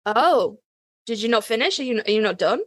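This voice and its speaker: A woman's voice: fairly high pitch, expressive, brisk, a bit bright, no echo, studio quality. A woman in her thirties co-hosting a podcast with casual, playful, exclamatory banter. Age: 30s. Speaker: podcast co-host.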